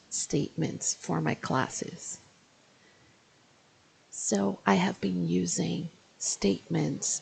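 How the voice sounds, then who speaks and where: A woman in her fifties, an English teacher, giving a spoken video review in a deliberate, explanatory way and slowing down to stress key phrases. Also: sits low, creaky, slight background noise, low-quality recording. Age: 50s.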